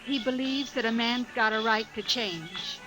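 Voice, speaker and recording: An actress playing an earnest, strong-willed American frontier wife in an old radio western, composed and direct. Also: female voice, on the high side, moderate pace, lightly acted, low-quality recording. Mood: worried, earnest. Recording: old radio broadcast with music behind.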